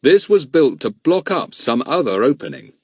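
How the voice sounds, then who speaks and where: A man voicing a calm, practical grown man who speaks firmly and reasons things out. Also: a little high, husky, dry recording, clean studio sound, voice pushed up. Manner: firm, reasoning, brisk.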